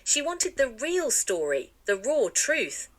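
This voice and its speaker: A woman's voice: high-pitched, big pitch swings, takes it slowly, full character voice. A woman playing an earnest, emphatic interviewee giving testimony in a mystery audio drama. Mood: earnest, admiring. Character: earnest woman interviewee recalling an elusive journalist. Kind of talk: acted documentary testimony.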